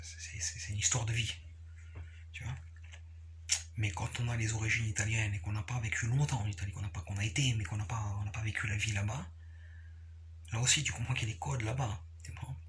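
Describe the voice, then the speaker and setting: A man giving a casual, unscripted explanation, repeating himself haltingly and often checking that the listener follows. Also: fairly low pitch, fairly even intonation, fairly bright tone, a little hiss or hum. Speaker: capoeira practitioner sharing views.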